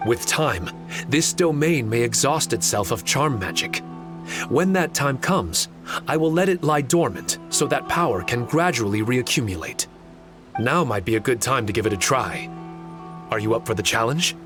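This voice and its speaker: An actor playing a polite, earnest young swordsman in a video game, formal and measured. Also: medium pitch, animated, music in the background, natural voice. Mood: composed, earnest.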